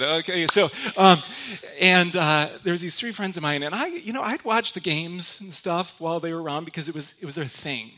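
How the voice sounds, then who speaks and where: Bright-voiced young man from Michigan. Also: a little high. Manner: relaxed and joking, with many fillers and restarts. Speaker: guest speaker at a church. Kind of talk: sermon told as personal stories.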